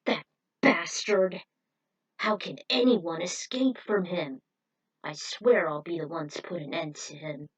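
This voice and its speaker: A woman's voice: fairly low pitch, unhurried, husky, rough recording. A proud and stern beast hunter, acted out by a woman in a story reading. He is determined and vengeful, speaking in emphatic declarations. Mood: angry, vowing.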